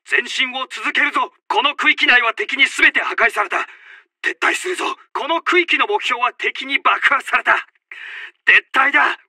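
An actor playing a stern, urgent army commander, barking clipped battle announcements as lines for a military video game. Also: male voice, extremely high pitch, pushed and bright, dry recording, exaggerated voice. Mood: urgent, commanding. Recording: dry, close game voice files.

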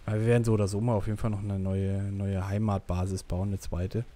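A man chatting through a co-op survival game with a friend, joking around. He breaks into loud, excited shouts when something happens in the game. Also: fairly low pitch, fast. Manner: jokey, excitable outbursts while fighting. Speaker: gameplay commentator. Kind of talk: casual co-op gameplay chat.

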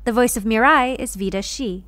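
A woman reads scripted end credits as an announcer. Her voice is a little high, and the sound is fairly dry. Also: brisk, a bit bright, dry recording.

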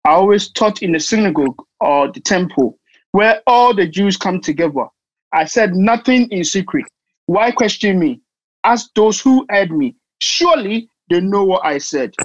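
A woman playing a calm, unyielding preacher on trial in an audio play, measured and declarative. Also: mid-range voice, dry recording, lo-fi sound, lightly acted. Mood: calm, firm. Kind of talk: dramatic audio play acting.

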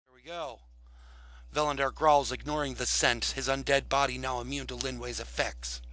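A man's voice: medium pitch, fairly even intonation, moderate pace, bright, forceful tone. A man reading fantasy roleplay narration and adding casual commentary as a player.

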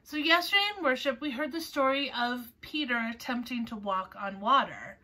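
A woman in her thirties hosting a children's storytime, reading and introducing a picture book in a measured way with pauses. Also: on the high side, sharp tone. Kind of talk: scripted introduction and picture-book read-aloud. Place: small furnished room at home, indoors. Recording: voice a little far from mic.

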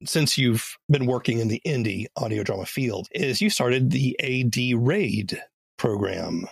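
Male podcast host, mid-pitched and gravelly, easy conversational questions, very dry sound. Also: expressive, unhurried, no echo. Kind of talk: conversational interviewing.

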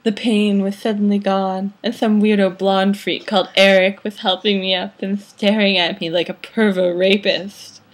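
A young woman reads fan fiction aloud at medium pitch. Her delivery is theatrical and mock-dramatic, as if barely keeping a straight face. She is recorded on a computer microphone in a small room. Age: young adult. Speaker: amateur reader. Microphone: webcam or laptop microphone at arm's length.

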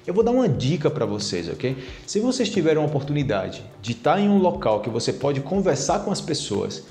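Animated Brazilian man teaching to camera. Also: a little high, fairly quick, roomy sound, faint music behind. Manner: animated, gesturing a lot with his hands; chatty, with many tag questions. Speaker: Portuguese teacher. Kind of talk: casual video lesson to camera. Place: plain-walled room indoors. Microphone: lapel mic.

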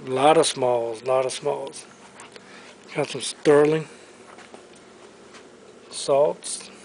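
A man's voice: medium pitch, fairly even intonation, very slow, gravelly, a little mellow, slight background noise, rough recording. A man giving an auction preview walk-through, naming items one by one in short clipped phrases, recorded on a handheld camera in a large showroom. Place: large indoor auction showroom full of furniture. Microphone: handheld camera microphone.

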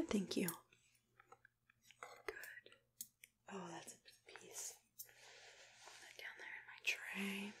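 Whispering young woman in gentle ASMR roleplay. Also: mid-range voice. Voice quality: whispered, breathy. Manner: gentle, reassuring, murmuring step-by-step commentary. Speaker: ASMR roleplay performer playing a dentist. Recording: clear background noise with clicking tool sounds. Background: noise, clicks of dental tools.

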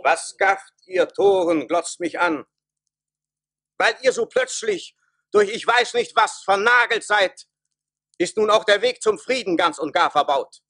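Bright, pompous declaiming emperor. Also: male voice, high-pitched, expressive, a little slow, exaggerated voice. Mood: scornful, bitter. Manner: declamatory, commanding, grandiose. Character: pompous emperor and officials of a satirical folk tale. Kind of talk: theatrical German radio play declamation.

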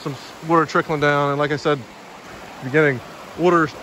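A man's voice: fairly high pitch, brisk. Rambling man vlogging in a noisy cave. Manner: relaxed, rambling, filler-heavy commentary. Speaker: hiking vlogger. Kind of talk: casual vlog narration. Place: inside a cave. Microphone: handheld camera held by the speaker.

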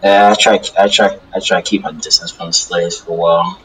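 Man playing a low-voiced, halting demon loner. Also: flat delivery, a bit bright, rough recording. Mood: hesitant, wary. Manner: halting, repeats his phrases. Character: male talking demon, wary vengeful loner, apologetic. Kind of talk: improvised Minecraft anime roleplay.

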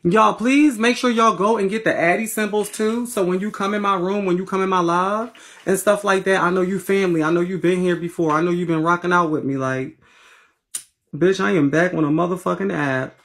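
A woman from Philadelphia with a raspy voice and a Philly accent, chatting bluntly and profanely to viewers on a phone livestream from her bedroom. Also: fairly low pitch, steady tone, brisk. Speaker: livestream host. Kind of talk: casual livestream chat. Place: bedroom at home, indoors. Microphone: phone at arm's length.

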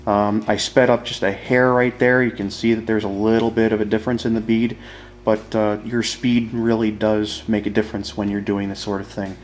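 A man's voice: lowish voice, little pitch movement, normal speed, a little hiss or hum. A man explaining a hands-on demonstration in a casual, informal way, as an instructor talking to viewers.

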